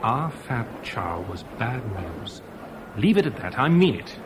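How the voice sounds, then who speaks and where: An actor playing a shrewd, suspicious government agent, probing and emphatic, in a 1970s radio drama. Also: male voice, mid-range voice, sing-song, unhurried, background noise. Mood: urgent, warning. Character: shrewd, suspicious male British government agent, urgent and forceful.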